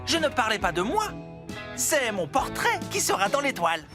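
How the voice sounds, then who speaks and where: A woman's voice: a little high, very animated, moderate pace. A woman voicing a boastful, self-important talking digger in a children's cartoon, insistent, with music behind. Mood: boastful, indignant.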